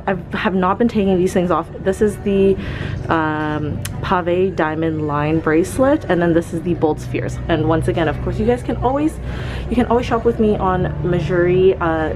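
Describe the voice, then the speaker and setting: A woman's voice: fairly low pitch, normal speed, music in the background, rough recording. A young woman in her twenties chatting casually through a clothing try-on vlog, rambling with fillers as she films on a handheld camera in a shop. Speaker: fashion vlogger. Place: clothing store, indoors.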